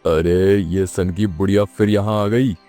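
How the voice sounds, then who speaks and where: A man's voice: deep voice, fairly even intonation, a little slow, dark tone, soft background music. A man playing a weary, exasperated village police station chief who grumbles sarcastically in a children's cartoon. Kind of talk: children's cartoon moral story acting.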